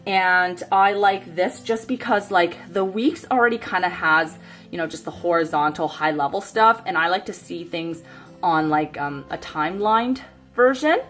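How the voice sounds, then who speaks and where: A woman's voice: lowish voice, normal speed, fairly bright tone, soft background music. A woman in her thirties chatting casually about her planner, sniffly from a cold and rambling with lots of fillers. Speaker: planner vlogger. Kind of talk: casual chat while planning. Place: home desk by a bright window.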